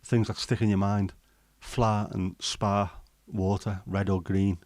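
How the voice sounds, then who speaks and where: A man's voice: lowish voice, little pitch movement, slow pace, darkish tone, dry recording. A man from England, chatting casually on a livestream and answering comments, rambling with many ums and restarts. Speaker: livestream host. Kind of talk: casual chat, reading and answering live comments.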